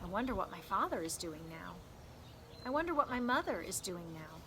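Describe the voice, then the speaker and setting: A woman voicing an earnest, excitable stranded boy in a storybook read-aloud, with emphatic, drawn-out delivery. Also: fairly low pitch, slight background noise, pitched below the performer's own voice, heavily acted. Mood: wistful.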